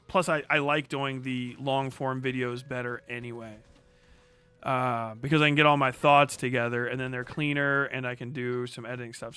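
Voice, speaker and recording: Clear-voiced man, casual and sweary game streamer. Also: medium pitch, sharp tone. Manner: profane, slangy, rambling. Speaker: live streamer. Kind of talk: casual livestream chat while playing a video game.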